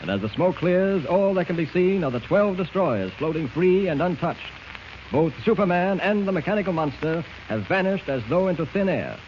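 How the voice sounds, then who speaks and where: High-pitched dramatic male radio narrator, noisy recording. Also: animated, normal speed, dull audio. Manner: dramatic, declamatory. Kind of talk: 1940s radio serial narration, scripted.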